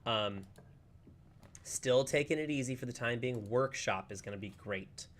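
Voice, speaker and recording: A man's voice: mid-range voice, a little slow, fairly bright tone, slight background noise. Chatty upbeat man streaming a game. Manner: chatty, upbeat, rambling with many fillers. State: slightly hoarse, recovering from a lost voice. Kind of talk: casual livestream chat while playing.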